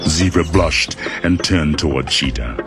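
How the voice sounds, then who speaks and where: A man narrating a children's storybook audiobook in a dramatic, deliberate storytelling style. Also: very deep voice. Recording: loud music and animal sounds behind.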